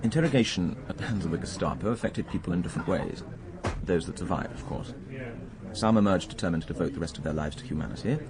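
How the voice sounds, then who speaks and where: An actor playing a suave, cynical middle-aged gentleman with an upper-class English accent, urbane and mocking, in a radio drama. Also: deep voice, animated, fast talker, crowd noise. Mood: sardonic, guarded. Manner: urbane, drawling wit, mocking. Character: suave, cynical gentleman, war survivor, teasing a lady friend.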